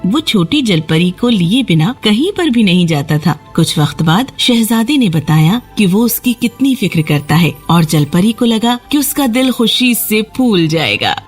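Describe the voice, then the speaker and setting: A woman's voice: medium pitch, expressive, few pauses, slightly rough, faint music behind. A woman storyteller giving a scripted narration of a children's fairy tale.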